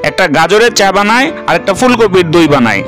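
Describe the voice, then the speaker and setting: A man voicing an excitable, hapless cartoon animal husband with dramatic, exclaiming delivery. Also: sits high, fast talker. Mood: exasperated. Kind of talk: exaggerated cartoon voice acting. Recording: music behind the voice. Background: music.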